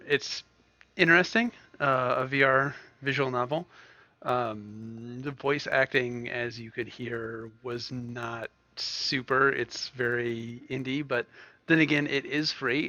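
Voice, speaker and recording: Bright-voiced man, wry gaming commentary. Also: medium pitch, fairly even intonation, unhurried, no room sound, highs cut off. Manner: wry, self-mocking. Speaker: gaming video host. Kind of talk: casual gameplay commentary.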